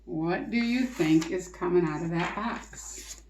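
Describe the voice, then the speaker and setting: A woman reading a picture book aloud to children in an expressive, coaxing way, in an echoey room. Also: on the low side, takes it slowly, a little hiss or hum. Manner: expressive, coaxing, asks the listener questions. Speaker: read-aloud teacher and storyteller. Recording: roomy echo.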